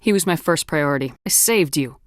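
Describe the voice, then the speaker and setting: A woman's voice: low voice, expressive, fairly quick, dry recording, clean studio sound. A woman playing a tough, decisive space soldier in a video game, speaking with firm, clipped authority. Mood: businesslike.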